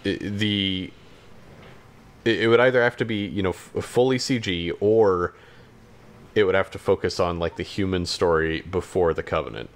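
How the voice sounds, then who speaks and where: A man co-hosting a podcast in casual chat, talking in a hesitant, halting way. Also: deep voice. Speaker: podcast co-host.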